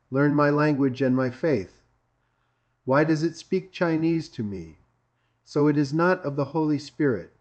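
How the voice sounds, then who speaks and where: A man's voice: on the high side, a little mellow. A man preaching a sermon in an emphatic, repetitive, argumentative way. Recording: dull lo-fi recording.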